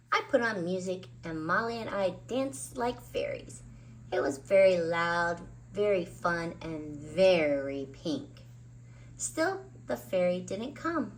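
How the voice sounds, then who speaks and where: A woman's voice: on the low side, sing-song, takes it slowly, low-quality recording. A woman hosting story time, narrating a children's book expressively with dramatic emphasis on words. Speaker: children's story reader and host.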